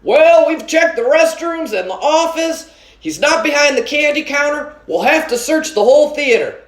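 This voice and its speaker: A woman's voice: sits high, expressive, normal speed, echoey room, pitched above the performer's own voice, low-quality recording. A woman reading as a formal male cinema manager, projecting as if announcing to a crowd. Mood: official, announcing. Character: formal, loud cinema manager, man in suit.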